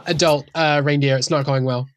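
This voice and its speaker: A woman's voice: deep voice, fairly even intonation, normal speed, no echo, clean studio sound. A woman chatting casually as a player in a tabletop game.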